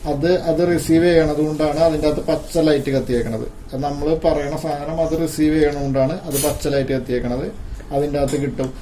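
A man giving an informal hands-on gadget demonstration, haltingly and repeating his phrases. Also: on the high side, steady tone, mellow, slight background noise, rough recording. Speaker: tech video presenter.

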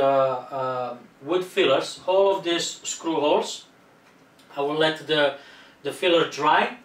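A man's voice: a little high, a bit bright, recorded from a distance. Man explaining DIY work in echoey room. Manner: explains steps plainly as he works. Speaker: home cockpit builder. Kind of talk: DIY tutorial narration. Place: indoor room at home. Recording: roomy echo, voice off-mic.

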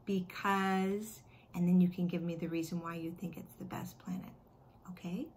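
A middle-aged American woman, a kindergarten teacher, talking expressively and simply to young children from her living room. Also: mid-range voice. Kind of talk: read-aloud and chat to young children.

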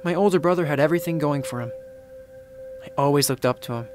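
A young man performing commercial voiceovers in a studio, with a hushed, intimate and measured delivery. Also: fairly high pitch. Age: young adult. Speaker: voice actor. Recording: music bed behind. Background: music beds.

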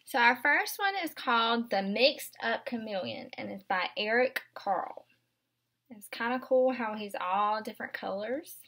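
A woman's voice: fairly high pitch, animated, a little slow, bright, forceful tone. A woman in her twenties, a kindergarten teacher, reading a picture book aloud expressively and explaining it simply for young children. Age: 20s. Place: small furnished room indoors.

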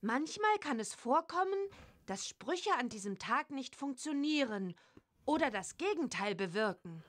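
Curious little witch girl for a kids cartoon game, high voice, super animated and expressive. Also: unhurried. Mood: instructive. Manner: clear, expressive, storytelling delivery. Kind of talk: children's cartoon adventure game acting.

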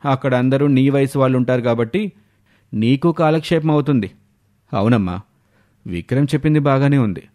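Male actor as mocking grown son, condescending, dismissive, medium pitch, cartoon family drama. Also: fairly quick, pauses often, warm tone, lightly acted. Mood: coldly dismissive.

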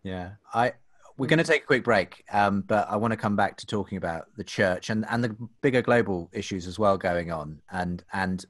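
A man in his thirties hosts a homemade talk show from his living room, speaking into a desk microphone. His voice sits a little low, and the sound is close and dry. He talks in a chatty way, full of fillers and restarts. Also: normal speed, fairly bright tone, no room sound. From England. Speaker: host. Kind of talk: casual podcast hosting. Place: home room. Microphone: desk microphone, webcam.

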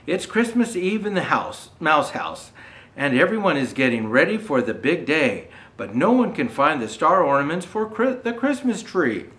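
Casting: older man as children's storyteller, very lively intonation, slightly roomy echo. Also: a little high, moderate pace. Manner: gentle explanatory asides to young viewers. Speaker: storyteller dressed as Santa Claus. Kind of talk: reading a children's picture book aloud. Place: indoor room with Christmas decor.

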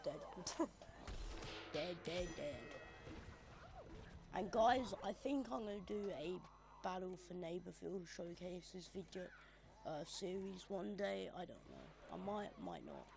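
A man with a high, lively voice and a gravelly edge, sniffling as he talks. He gives loose, offhand gameplay commentary, muttering quick asides to viewers. The recording has a steady hiss of noise underneath. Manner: offhand, mumbling asides while playing. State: sniffly from hay fever. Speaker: gaming channel host.

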